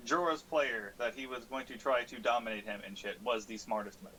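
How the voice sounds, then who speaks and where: A man's voice: mid-range voice, a little fast, low-quality recording. A man in a casual, informal chat, recapping a tabletop game as a player and swearing lightly. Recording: steady audible background noise.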